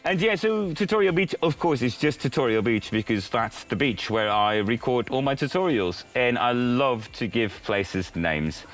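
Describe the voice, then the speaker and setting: A man whose mother tongue is Dutch, telling stories casually as a podcast guest with lively exclamations. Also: mid-range voice, moderate pace, few pauses. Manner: lively, animated, breaks into exclaimed sayings. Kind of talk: casual storytelling.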